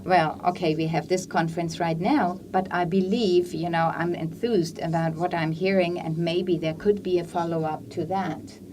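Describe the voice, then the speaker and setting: A woman's voice: on the low side, steady tone, normal speed, hardly any pauses, noisy background. A woman at a panel discussion's Q&A, speaking hesitantly with many fillers. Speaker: audience member at a panel discussion. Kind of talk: conference Q&A comment. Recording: distant mic, faint hall ambience.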